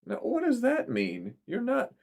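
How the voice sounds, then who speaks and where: A man's voice: very high-pitched, wildly swooping pitch, measured pace, gravelly, a little mellow, pitched above the performer's own voice, full character voice. A man voicing a chatty, fussing middle-aged mother full of questions in a story reading.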